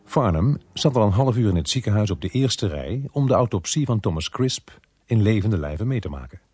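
Radio drama inspector: calm, courteous, slightly hesitant, husky and on the low side. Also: male voice. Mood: measured narration. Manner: measured, polite, hesitant. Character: calm, courteous male police inspector, narrating his case. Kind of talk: radio drama acting with first-person narration.

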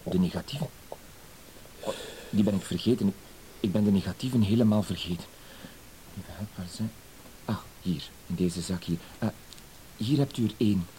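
Low-voiced, fussy blackmailer played by a man. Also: normal speed, long pauses, mellow, natural voice, low-quality recording. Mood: flustered, apologetic. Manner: hesitant, circling, full of verbal tics and apologies. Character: fussy, hesitant, apologetic male blackmailer. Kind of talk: radio play acting. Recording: hissy old radio play recording.

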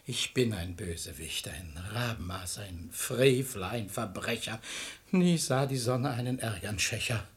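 A man's voice: lowish voice, lively intonation, unhurried. A man playing a sanctimonious, lustful hypocrite who feigns humble piety, declaiming verse unctuously in a radio play. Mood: mock contrite. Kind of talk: German radio play of classical verse comedy.

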